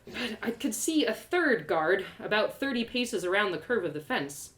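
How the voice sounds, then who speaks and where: A woman storyteller narrates a fantasy tale in the first person. Her delivery is theatrical and expressive, with a lively pitch that rises and falls to shape each phrase. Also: normal speed. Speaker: author reading her story aloud.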